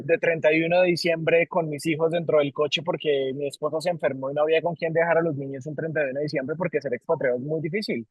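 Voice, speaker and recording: A man's voice: on the high side, slightly rough, dry recording, low-quality recording. A man with a Colombian accent, a company leader answering interview questions in an earnest, reflective way, often repeating words and ending sentences with "¿no?". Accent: Colombian Spanish. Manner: earnest, repeats words while searching for them, ends lines with "¿no?". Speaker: guest, company CEO.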